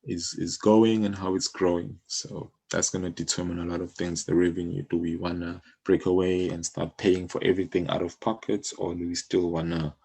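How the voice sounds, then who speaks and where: A man's voice: low voice, little pitch movement, normal speed, vocal fry, few pauses, a little mellow, slightly rough. A South African man speaking informally in a casual interview as a guest, thinking aloud as he goes. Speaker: music publisher, interview guest.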